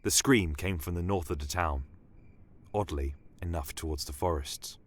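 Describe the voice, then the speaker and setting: A man narrating a scripted first-person fantasy tale in a measured, solemn, dramatic manner. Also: sits low. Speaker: storyteller.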